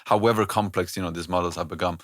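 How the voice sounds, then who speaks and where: A man in his thirties from Italy with an Italian accent, a podcast guest talking thoughtfully with many pauses and fillers. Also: low-pitched, steady tone, fairly bright tone, no echo, clean studio sound. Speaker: orchestra director, podcast guest. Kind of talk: conversational interview.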